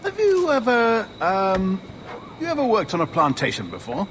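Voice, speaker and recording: An actor playing a chatty, nervous, genteel merchant in a video game, fussy and excitable in manner. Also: male voice, high-pitched, normal speed, slight background noise, exaggerated voice. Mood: hesitant. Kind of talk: video game cutscene acting.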